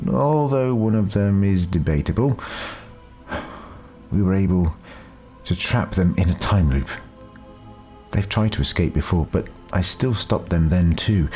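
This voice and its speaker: Man voicing a world-weary, low-voiced time traveller. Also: darkish tone. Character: world-weary, wry retired male time-travelling alien doctor.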